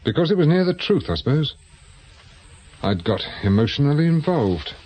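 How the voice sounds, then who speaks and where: A man's voice: fairly high pitch, sing-song, moderate pace, darkish tone. A British man acting in a radio drama as a world-weary, sardonic private investigator who used to be a policeman. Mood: reflective. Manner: dry, sardonic. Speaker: actor.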